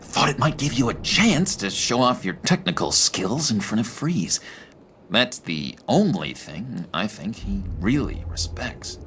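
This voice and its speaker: A man playing a needy, unhinged misfit in a video game, gushing and halting as he pleads for friendship. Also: fairly low pitch, very animated, a little hiss or hum. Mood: eager, nervy. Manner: gushing, halting, giggly, swinging between chirpy and hushed.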